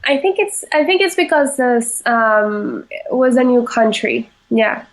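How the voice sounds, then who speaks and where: A young woman from Venezuela, a chef answering interview questions in a halting way, with many fillers and restarts. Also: a little high, animated. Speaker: chef, podcast guest.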